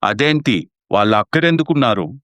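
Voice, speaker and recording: A man's voice: mid-range voice, animated, a little fast, a bit bright, no room sound, lightly acted. A man playing a stern, blunt elderly father in a story, with a commanding, reproachful manner. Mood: puzzled.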